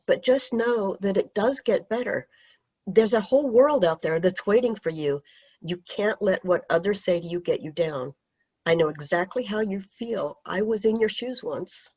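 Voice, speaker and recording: A woman reading a teacher's part in a staged play reading over a video call, gentle and reassuring. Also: medium pitch, animated, moderate pace. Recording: husky voice on dull lo-fi video call audio.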